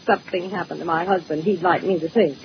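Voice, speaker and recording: An actress playing a cold, sharp-tongued scheming wife in a 1940s radio thriller, arch and cutting. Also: female voice, fairly low pitch, steady tone, fast, highs cut off, natural voice. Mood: impatient. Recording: slightly noisy, band-limited old radio recording.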